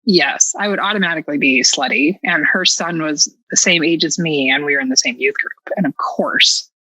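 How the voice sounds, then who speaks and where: A woman's voice: sits low, moderate pace, pushed and bright, no echo, low-quality recording. A middle-aged American woman telling personal stories as a podcast guest, casual and candid, with a sarcastic, self-mocking streak and a habit of acting out dialogue.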